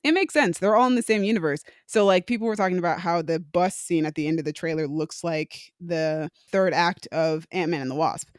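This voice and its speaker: A mid-pitched voice of middling tone on a movie podcast, recorded close and dry. The speaker chats easily, trading news and opinions with co-hosts. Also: brisk, hardly any pauses, smooth, no room sound. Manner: chatty, shares opinions and news. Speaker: podcast co-host. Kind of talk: casual movie review chat.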